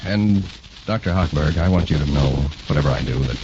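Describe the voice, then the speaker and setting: Very deep, earnest young radio-drama doctor. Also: male voice, expressive, a little fast. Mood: regretful. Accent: American. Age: young adult. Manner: earnest, intense. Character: earnest young male doctor torn between career and love. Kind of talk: 1940s radio drama acting. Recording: dull, noisy 1940s radio recording.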